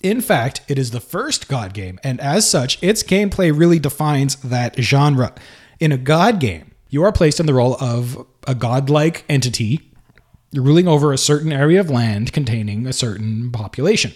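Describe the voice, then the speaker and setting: A male podcast host with a plain voice, neither high nor low. He talks off the cuff, stopping to rephrase and fill gaps as he thinks aloud. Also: expressive, darkish tone, slightly rough. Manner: unscripted, halting, many fillers and restarts. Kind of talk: casual solo podcast talk.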